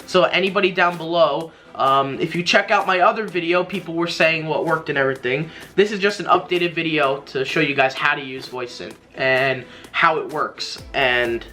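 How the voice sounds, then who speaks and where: A man's voice: on the high side, normal speed, few pauses, bright, forceful tone. A young man hosting a casual step-by-step tutorial in an informal, rambling, slangy way, recorded indoors in a small room. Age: young adult. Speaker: host of a tech tutorial.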